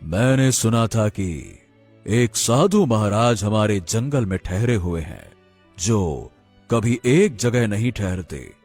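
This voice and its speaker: Man playing a grave, husky, slow-spoken king. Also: fairly low pitch, a little mellow, exaggerated voice. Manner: grave, halting, respectful. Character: worried, solemn king burdened by a demon's threat. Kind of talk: animated moral-story acting.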